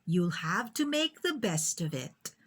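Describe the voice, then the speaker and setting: A woman voicing a female schoolteacher in a children's storybook, brisk and encouraging but firm, with an instructive manner. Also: lowish voice, sing-song, a bit bright, husky, exaggerated voice. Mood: brisk warning.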